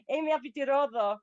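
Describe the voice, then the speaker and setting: A woman's voice: sits high, a little mellow, no room sound, highs cut off. A woman from Greece with a British accent, chatting in an online audio room, often laughing and restarting her sentences. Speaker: guest speaker. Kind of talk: casual online audio chat.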